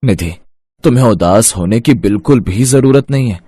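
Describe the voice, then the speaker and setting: A man playing a kind, wise middle-aged village father who drives an auto, speaking gently and reassuringly in a cartoon story. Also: fairly low pitch, animated, moderate pace, slightly rough, no room sound, studio quality. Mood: reassuring, determined.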